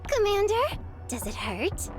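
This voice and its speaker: A woman's voice: very high-pitched, wildly swooping pitch, a little slow, full character voice. A woman voicing a playful, clingy young android soldier girl in a game. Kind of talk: game voice acting. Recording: game audio over music.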